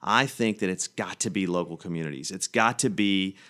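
Earnest man from Tennessee, lowish voice. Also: moderate pace, a bit bright. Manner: earnest, many restarts and "you know". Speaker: guest physician. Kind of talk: radio interview answers.

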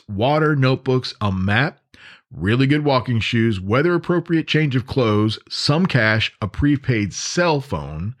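A man's voice: mid-range voice, fairly bright tone. A man hosting a podcast in a conversational, instructive way, repeating points for emphasis. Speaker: podcast host. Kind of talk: conversational podcast monologue on emergency preparedness.

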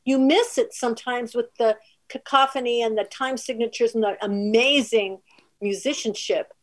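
A female singer with a soft voice, telling anecdotes casually in an interview. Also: fairly high pitch, steady tone, moderate pace.

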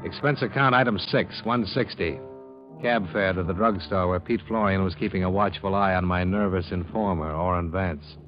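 A cool American detective played by a man from old-time radio, speaking in a low voice. He is clipped and matter-of-fact, like someone filing a report. The vintage recording is dull, with music behind. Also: moderate pace, natural voice. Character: cool, businesslike male private investigator narrating his case. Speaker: lead actor and narrator of a detective radio series. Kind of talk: 1950s radio detective acting, scripted narration.

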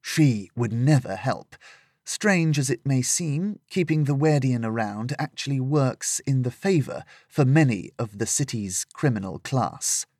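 Audiobook: male reader doing an unflappable female mercenary, slow and soft-spoken. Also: mid-range voice, no room sound, clean studio sound. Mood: measured. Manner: slow, calm, quiet delivery. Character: cool, measured woman mercenary.

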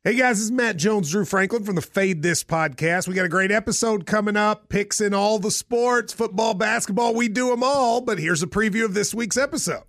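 A man's voice: sits high, a little fast, hardly any pauses, a bit bright, no echo, soft background music. A man, a podcast host, reading a scripted promo for his show.

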